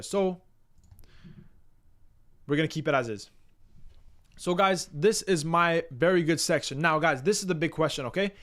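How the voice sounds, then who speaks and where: A young man from Canada hosting a live stream, chatting casually and energetically with his viewers in slangy, repetitive talk. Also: fairly high pitch. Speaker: livestream host.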